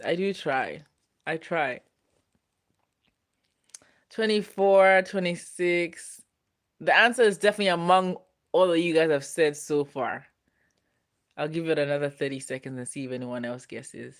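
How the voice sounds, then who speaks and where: A woman in her twenties hosting a casual livestream, reading viewer comments aloud in a playful, teasing way and laughing often. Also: low-pitched. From Ghana. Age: 20s. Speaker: livestream host.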